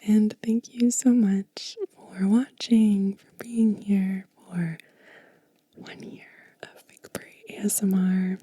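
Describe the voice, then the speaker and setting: Softly whispering, breathy woman, very muted tone. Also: medium pitch, fairly even intonation, no echo. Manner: gentle, unhurried, soothing. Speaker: ASMR video host. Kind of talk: soft-spoken whispered ASMR, show and tell.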